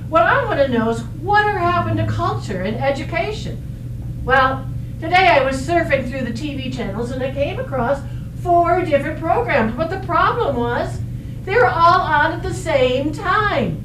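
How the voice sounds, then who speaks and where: A woman reading a comic scene aloud at a readers theater recital, with slow and deliberate timing. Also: high voice, expressive. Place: small theater stage. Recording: echoey room from far off, noisy.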